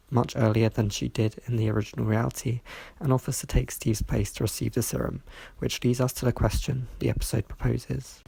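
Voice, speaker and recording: A reviewer reading a scripted review in a measured way, sounding stuffy from hay fever. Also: mid-range voice, creaky, warm tone, breathy edge, no echo, poor audio. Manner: measured, reads from a script with small slips. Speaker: video reviewer.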